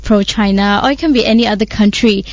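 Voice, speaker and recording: A woman's voice: medium pitch, quick pace, no room sound. A woman co-hosting a radio current affairs discussion, explaining her points with many hesitations and fillers. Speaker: radio show co-host. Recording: dry, slightly muffled studio audio.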